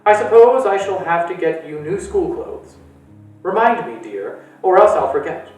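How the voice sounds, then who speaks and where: A man reading aloud voices a busy, distracted mother who answers in a brisk, offhand way. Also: sits high, expressive, darkish tone, pitched above the performer's own voice. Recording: echoey room, distant mic, faint music.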